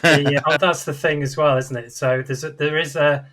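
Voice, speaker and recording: A man's voice: on the high side, fairly even intonation, normal speed, a crowd in the background. A man in casual, explanatory chat as a podcast panellist, passing on bits of trivia and anecdote. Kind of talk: casual explanatory chat about games and films.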